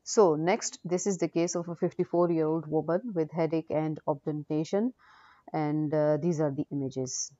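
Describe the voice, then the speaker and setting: A woman with a low, mellow voice teaches as a radiology lecturer, talking through cases without a script. She explains hesitantly and repeats herself. The recording is dry and muffled. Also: fairly even intonation, moderate pace, no room sound. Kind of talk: unscripted case-review lecture. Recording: dull, dry lo-fi audio.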